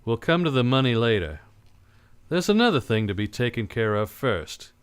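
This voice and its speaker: An actor playing a tough, defiant private detective in an old-time radio drama, blunt and commanding. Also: male voice, medium pitch, very animated, normal speed, clear, resonant tone, natural voice. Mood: cool, businesslike.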